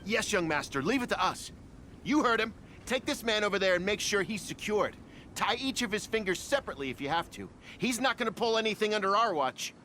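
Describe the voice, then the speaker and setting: A man's voice: sits high, animated, fairly quick, sharp tone, slight background noise. A man voicing a tough, loyal guard who serves a young master in a video game. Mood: gruffly dutiful. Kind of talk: English video game voice acting.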